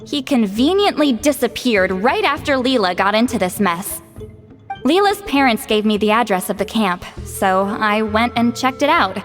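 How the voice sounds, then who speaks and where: A woman voicing a brisk, no-nonsense granny of a tribe, sharp but caring, in a video game. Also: a little high, lively intonation, natural voice. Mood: matter-of-fact. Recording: soft game music behind the voice.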